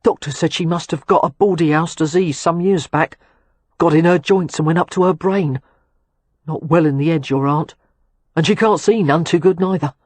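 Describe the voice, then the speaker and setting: A woman voicing a shy young working-class man from South London, awkward and hesitant, with a thick South London accent and a breathy voice. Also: low voice, normal speed, a little mellow, no echo. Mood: earnest. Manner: awkward, hesitant, plain-spoken.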